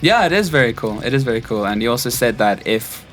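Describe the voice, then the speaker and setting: A South African man in his twenties with a mid-pitched voice shares his stories in an interview. He speaks informally, in a relaxed conversational style, as a stage crew technician would among friends. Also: quick pace, faint music behind. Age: 20s. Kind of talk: casual chat, personal anecdotes.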